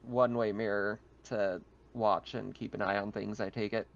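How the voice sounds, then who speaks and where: A man casually narrating a tabletop roleplaying game as game master, describing scenes in a halting, improvised way. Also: on the low side, flat delivery, gravelly, highs cut off.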